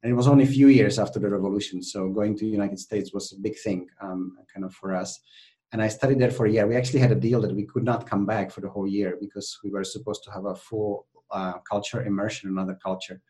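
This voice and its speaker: Guest: man, Czech accent, low voice, lively, filler-heavy storytelling. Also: fast talker. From Czech Republic. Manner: animated, many fillers and restarts. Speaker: podcast guest. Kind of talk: conversational interview.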